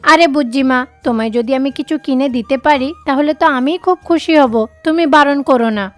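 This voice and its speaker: A woman's voice: sits high, brisk, faint music behind, close to an everyday voice. A woman voicing a kind, hardworking mother sparrow in a children's cartoon, speaking in an earnest, coaxing way. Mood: affectionate.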